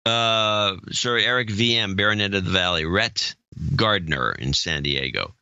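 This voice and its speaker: A man reads donor names in a slow, unhurried way, his low voice carrying a bright, forward edge. He stops to puzzle over pronunciations and throws in quick jokes. The sound is dry and a little dull. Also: clean tone, no room sound. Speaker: podcast co-host reading donor names. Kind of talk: casual chat.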